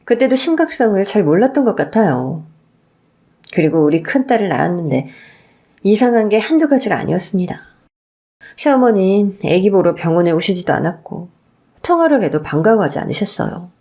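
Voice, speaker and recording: A woman's voice: mid-range voice, big pitch swings, fairly quick, dark tone. A woman reading a scripted first-person story as a narrator, in a confiding storytelling manner.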